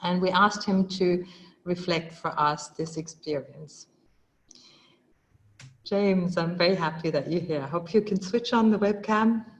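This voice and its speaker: A woman's voice: fairly low pitch, a little slow, poor audio. A woman hosting a live webinar, talking with enthusiasm and many fillers and restarts over a video call. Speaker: webinar host.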